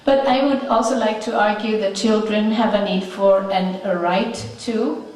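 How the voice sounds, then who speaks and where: A woman with an Icelandic accent giving a prepared conference talk, measured and pausing often with "uh" fillers. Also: medium pitch, steady tone, darkish tone. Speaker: conference speaker. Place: conference hall. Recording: echoey hall, mic at a distance.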